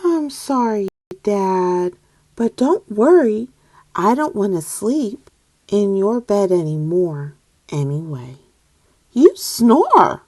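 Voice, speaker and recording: A woman's voice: mid-range voice, very animated, slow pace, darkish tone, no echo. A woman voicing an eager little boy tadpole growing into a young frog, exclaiming in an exuberant, childlike way for a storybook. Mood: earnest.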